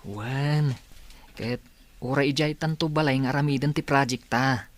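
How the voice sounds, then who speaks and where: A man's voice: medium pitch, fairly even intonation, normal speed, close to an everyday voice. A man acts a teenage schoolboy in a radio play. He is a friendly, persuasive pal. His speech carries an Ilocano accent. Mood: reassuring. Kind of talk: radio drama acting.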